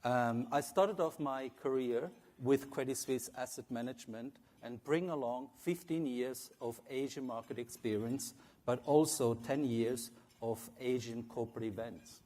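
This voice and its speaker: A man with an Italian accent addresses a large investor audience from a stage. He is a portfolio manager in his forties, formal and measured, with flashes of self-deprecating humor. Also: mid-range voice, a little mellow. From Italy and Switzerland. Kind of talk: conference presentation. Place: conference stage.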